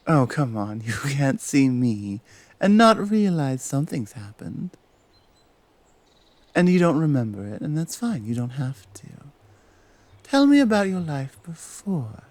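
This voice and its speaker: A man playing a calm, teasing Valkyrie who guides souls in an intimate ASMR roleplay, soft-spoken and coaxing with halting pauses. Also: mid-range voice, no echo. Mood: gently probing.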